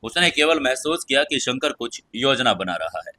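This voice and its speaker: A male storyteller narrates a scripted audio story as its host. His voice is bright and forceful, sitting at a middle pitch for a man. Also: big pitch swings, moderate pace.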